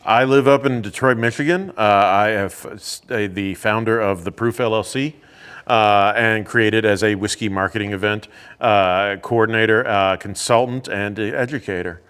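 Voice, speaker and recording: A man who teaches about whiskey, explaining things in a relaxed, casual way with lots of fillers. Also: on the low side, normal speed, smooth. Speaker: whiskey educator. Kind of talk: casual chat. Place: indoors at a teaching academy.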